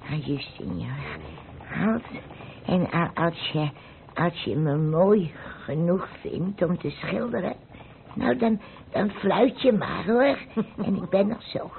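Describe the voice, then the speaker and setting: A woman's voice: on the low side, expressive, slow pace, gravelly, heavily acted. An actress playing a humble, chatty poor door-to-door woman, stammering and repeating words, in an old radio play. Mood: chatty, self-effacing. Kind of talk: old radio drama acting. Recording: tinny, noisy old radio play recording.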